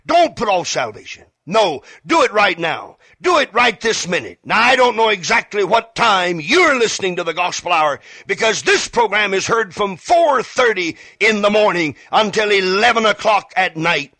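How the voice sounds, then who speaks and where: A male radio evangelist with a high, sharp-edged voice preaches with fervor. He hammers phrases by repeating them and addresses the listener directly. The sound is close and dry, like a studio broadcast. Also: big pitch swings, moderate pace, hardly any pauses, no room sound. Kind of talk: fervent evangelistic radio sermon.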